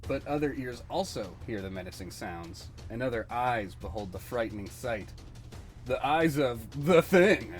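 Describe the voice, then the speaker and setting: A man's voice: medium pitch, expressive, a little slow, soft background music. A man narrating a comic book dramatically, with a theatrical, suspenseful delivery.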